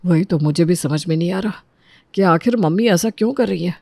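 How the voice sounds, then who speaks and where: A woman's voice: lowish voice, fairly quick, gravelly, dark tone, no echo, clean studio sound. Woman as a caring, worried young family man. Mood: soothing. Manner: earnest, soothing. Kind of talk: Hindi family audio drama acting.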